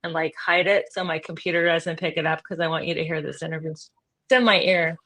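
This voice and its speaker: A woman's voice: low-pitched, fairly even intonation, fairly quick, fairly bright tone. A woman hosting an interview over a video call, chatty and enthusiastic. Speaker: interview host. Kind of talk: casual interview chat.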